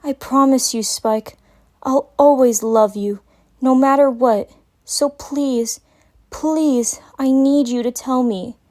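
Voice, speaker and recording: Woman as a tender, high-voiced female unicorn. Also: slow, mellow. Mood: soothing, loving. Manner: tender, pleading, reassuring. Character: gentle, nurturing female unicorn consoling her young assistant. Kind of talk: dramatic reading of fan fiction.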